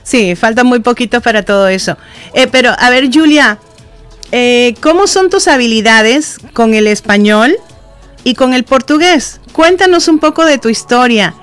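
High-voiced bilingual woman interviewer. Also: lively intonation, moderate pace, a bit bright, smooth. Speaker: radio show host interviewing guests. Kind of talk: casual bilingual interview, switching between Spanish and English. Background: music playing behind.

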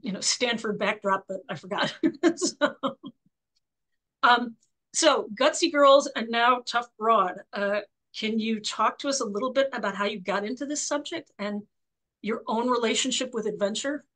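A woman in her sixties with a croaky voice, hosting a book club interview over a video call from her home office, chatty and full of fillers. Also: fairly high pitch, normal speed. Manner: warm, chatty, many fillers and restarts.

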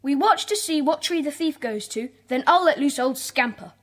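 High-pitched, commanding schoolboy gang leader for a children's audio drama. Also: female voice, animated. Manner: commanding, brisk instructions. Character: bossy schoolboy leader of a secret club.

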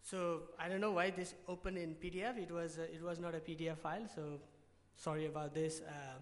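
A young man from Nepal with a Nepali accent, giving a hesitant pitch talk from a podium mic in a large conference hall, full of restarts and fillers. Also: little pitch movement, brisk. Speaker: award presenter. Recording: reverberant hall.

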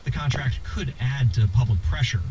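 A man's voice: mid-range voice, expressive, normal speed, dull audio, heavy background noise. A man reading scripted radio news as a reporter. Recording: distant car radio picked up by phone. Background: music.

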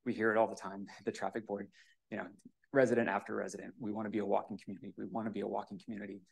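A man's voice: lowish voice, flat delivery, racing, gravelly, slightly rough. A man giving a hesitant, pleading public comment at a municipal commission meeting on a podium microphone. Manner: hesitant, many fillers, pleading.

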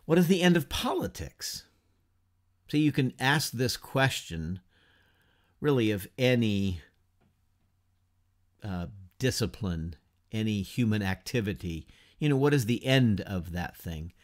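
A man in his fifties giving an informal class lecture from a home study, unhurried and conversational, asking rhetorical questions and using many fillers. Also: on the low side, lively intonation. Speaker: teacher of an adult church class. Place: home study lined with bookshelves, whiteboard beside him.

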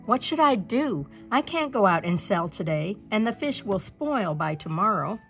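A humble young fish seller from a storybook read by a woman speaks with polite wonder. The voice is lively in pitch and gently puzzled, with music behind. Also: moderate pace, gravelly. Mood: worried.

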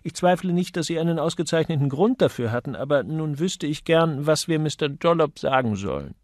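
A jovial advertising boss from an audiobook crime novel, played by a male narrator. He banters breezily and teases his staff, with a slightly raised pitch and a dry, close sound. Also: animated, brisk, dry recording, close to an everyday voice. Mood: brisk, probing.

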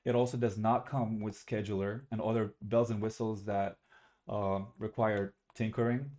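A man's voice: lowish voice, level tone, normal speed, darkish tone, dull audio. Young man giving a casual class talk. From Algeria. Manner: casual, self-correcting, slightly rambling. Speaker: student presenter. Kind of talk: recorded class presentation.

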